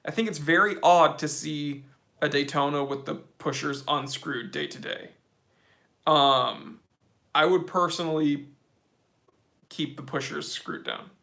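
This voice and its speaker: A man hosting a casual live-stream Q&A, reading chat questions aloud and answering in a relaxed, slangy way. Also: fairly high pitch, unhurried, vocal fry, pauses often. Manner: laid-back, slangy, reads chat aloud.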